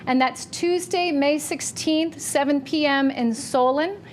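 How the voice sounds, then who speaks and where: Woman, high voice, loud, announcing at a library event, faint rumble behind. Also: measured pace. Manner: says she has a loud voice. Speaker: library communications manager introducing a forum. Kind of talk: reading announcements and an introduction to an audience. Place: public library meeting room.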